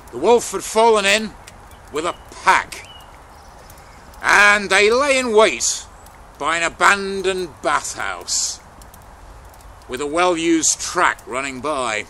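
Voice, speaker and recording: A man telling a folktale aloud in a dramatic, deliberate way, with pauses between phrases. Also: high voice, expressive, bright, forceful tone, a little hiss or hum. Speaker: storyteller. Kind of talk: oral folktale storytelling, unscripted.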